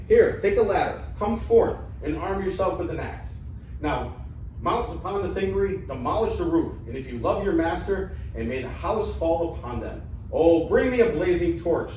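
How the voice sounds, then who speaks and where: A man's voice: a little high, animated, normal speed, darkish tone, lightly acted. A man playing a poor, gullible old Greek father who declaims with indignation in an amateur reading of ancient comedy. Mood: vengeful. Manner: declamatory, indignant, stumbling over some words. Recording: echoey room, distant mic, faint noise.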